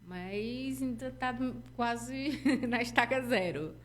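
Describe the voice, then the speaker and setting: A woman's voice: on the high side, slow pace. Chatty Brazilian woman interview host. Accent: Brazilian Portuguese. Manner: conversational, affirming with "exatamente" and "né?". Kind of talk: casual interview chat.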